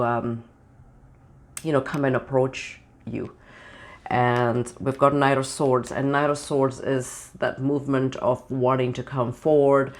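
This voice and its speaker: A tarot reader recovering from the flu, voice changed by it, giving a channeled reading to camera and rambling with many fillers. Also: medium pitch. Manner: meandering, with many "you know" and "um" fillers.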